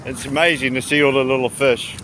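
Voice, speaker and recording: Casting: male travel vlogger, mid-pitched, casual and rambling with mid-sentence pauses, recorded on a noisy, windy shore. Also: steady tone, a bit bright. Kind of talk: casual walking travel commentary. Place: outdoors on a rocky seashore by tidal pools. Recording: windy outdoor recording with sea noise. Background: wind and waves.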